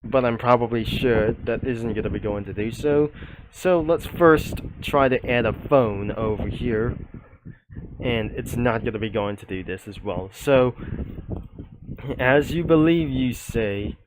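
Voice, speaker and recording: Slow-talking man rambling through commentary. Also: mid-range voice, noisy background. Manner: rambling, roundabout phrasing. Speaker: video creator presenting a comic studio. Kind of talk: unscripted screen commentary.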